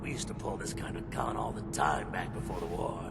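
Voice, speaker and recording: An actor playing a scornful, streetwise male crook with a gravelly voice in a video game. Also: low voice, fairly quick, background noise. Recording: music-covered, reverberant hall.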